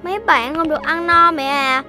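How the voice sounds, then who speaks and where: A performer voicing a young, playful animal child in an expressive, childlike cartoon style. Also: sits high, moderate pace, music in the background, natural voice. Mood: sympathetic, curious.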